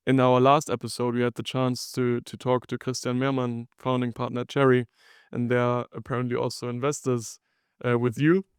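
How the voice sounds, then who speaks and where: A man hosting an interview podcast, putting questions together piece by piece in a halting, conversational way. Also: medium pitch, level tone, clear, resonant tone, dry recording, clean studio sound. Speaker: podcast host.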